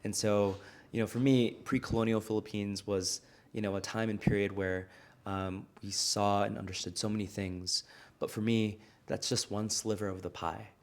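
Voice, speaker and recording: Earnest man with a low voice. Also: steady tone, normal speed. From United States. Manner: earnest, reflective storytelling. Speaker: guest, restaurant founder. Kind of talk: conversational interview.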